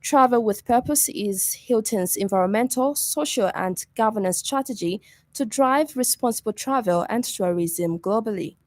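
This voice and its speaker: A woman's voice: fairly high pitch, moderate pace, a little mellow, no echo. Zambian woman reading radio news, dry studio sound. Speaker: news reader.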